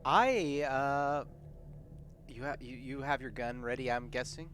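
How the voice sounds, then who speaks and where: A man playing a tabletop roleplaying game, chatting casually and haltingly, repeating words as he thinks. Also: mid-range voice, noisy background. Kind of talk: casual in-character game chat.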